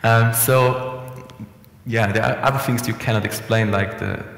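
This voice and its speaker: A man's voice: on the low side, measured pace, creaky. Young man in a reverberant hall. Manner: relaxed, conversational, frequent restarts and fillers. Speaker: conference speaker. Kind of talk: conference presentation. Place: conference room, indoors. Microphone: headset mic. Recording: echoey hall with long reverb.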